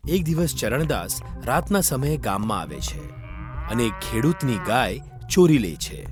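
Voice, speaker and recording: A man's voice: medium pitch, sing-song, measured pace, over music. A man narrating a scripted moral story for children.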